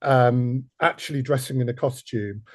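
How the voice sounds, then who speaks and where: A man's voice: medium pitch, fairly even intonation, moderate pace, darkish tone. A man hosting a podcast, interviewing guests in a conversational way.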